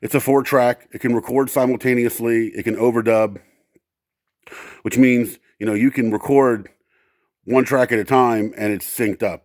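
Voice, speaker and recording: A man with a fairly low voice talks casually through a hands-on gear test. The sound is dry and close, with little room around it. He explains in a halting, unscripted way, circling back to correct himself. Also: darkish tone, no echo. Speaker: presenter testing vintage audio gear. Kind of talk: casual, unscripted demonstration talk.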